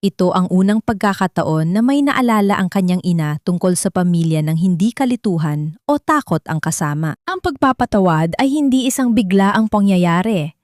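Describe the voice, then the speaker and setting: A woman's voice: medium pitch, expressive, moderate pace, a little mellow, no echo. Woman narrator, dry close sound. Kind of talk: dramatic scripted story narration.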